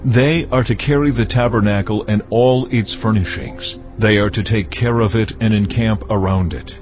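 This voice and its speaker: Low male voice, an actor as God giving commands, solemn, authoritative, slightly muffled audio, faint music. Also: moderate pace, close to an everyday voice. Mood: solemn, commanding. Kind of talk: dramatised scripture reading.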